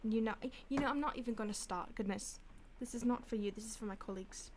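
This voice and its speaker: A woman voicing a mocking, predatory female vampire stalker who talks down to her victim, soft-spoken and slipping into whispers. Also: on the high side, fast talker, slight background noise, close to an everyday voice. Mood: disdainful. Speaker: audio roleplay voice actor. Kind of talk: audio roleplay acting.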